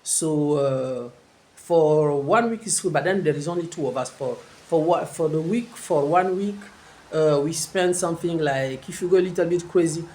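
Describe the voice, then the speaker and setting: An older man speaking English, his voice gravelly and sitting fairly high. He rambles casually to his viewers, stopping and restarting with plenty of filler words. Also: a little mellow, a little hiss or hum. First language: French. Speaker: vlogger. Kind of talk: casual vlog talk to camera.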